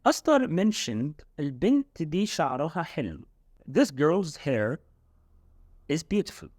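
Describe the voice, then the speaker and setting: Man, language tutor, animated pitch, dry recording. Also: dry recording. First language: Arabic. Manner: explains slowly and repeats words. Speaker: Modern Standard Arabic tutor. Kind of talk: casual language lesson.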